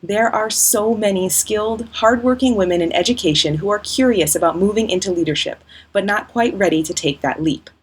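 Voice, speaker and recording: A woman host reading a scripted outro for an education program in a smooth, promotional way. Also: medium pitch, a little fast, hardly any pauses. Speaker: host and company founder.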